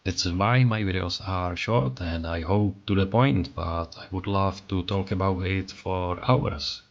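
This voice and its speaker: Man, Czech accent, very low voice, earnest and reflective, slightly muffled recording. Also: measured pace, gravelly. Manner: earnest, reflective, self-critical. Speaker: artist and channel creator. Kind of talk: scripted voice-over narration.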